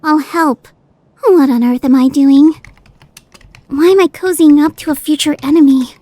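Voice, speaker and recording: A woman playing a proud, excitable, brash schoolgirl in an anime radio play. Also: high-pitched, normal speed, close to an everyday voice. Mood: haughty, exasperated.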